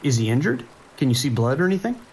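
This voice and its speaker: A man acts out an anxious male police dispatcher for an audio drama. His gravelly voice sits at a middling pitch, giving clipped, urgent radio instructions and hesitating when shaken. Faint rain and ambience run behind him. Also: very animated, quick pace, a little mellow, a little hiss or hum, poor audio. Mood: steady, concerned.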